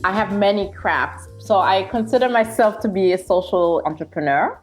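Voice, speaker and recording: A woman from Germany, a guest on a podcast, telling her story in a reflective, steady way with frequent fillers. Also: medium pitch, normal speed, soft background music. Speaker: podcast guest, social scientist. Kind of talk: casual interview chat.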